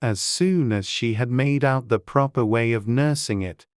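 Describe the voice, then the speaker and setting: A man's voice: medium pitch, unhurried, no echo, studio quality. A man reading scripted storybook narration as an audiobook narrator.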